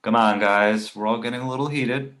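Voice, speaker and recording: A man's voice: medium pitch, fairly even intonation, fairly quick, fairly bright tone, natural voice. A man playing a timid, conciliatory friend who makes podcasts, in a table read of a screenplay. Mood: placating.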